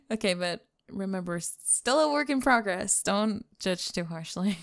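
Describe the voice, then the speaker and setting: Woman acting as sweetly smitten young female pop star, slow pace, slightly creaky, breathy, hesitant, ASMR romance roleplay. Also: mid-range voice, expressive. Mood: shyly excited. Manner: soft-spoken, breathy, giggly and hesitant.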